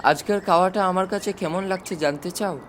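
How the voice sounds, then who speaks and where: A man's voice: high-pitched, brisk, fairly bright tone, slight background noise. A man voicing an affectionate, confident army chief uncle in a storybook reading, with a fatherly, explanatory manner. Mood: content, reminiscing.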